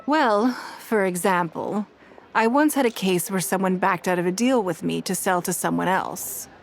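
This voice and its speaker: A woman playing a composed, shrewd and wealthy businesswoman in a video game, speaking in a measured, formal way. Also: medium pitch, gravelly, slight background noise, natural voice. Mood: reflective, composed. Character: poised, shrewd, wealthy businesswoman of high standing. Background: background music.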